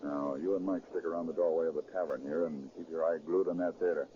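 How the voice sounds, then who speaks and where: An actor playing a commanding, decisive federal agent chief with clipped speech in a 1930s radio crime drama. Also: male voice, rumbling low, fairly even intonation, quick pace, warm tone. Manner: clipped, authoritative. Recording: muffled, noisy 1930s radio recording.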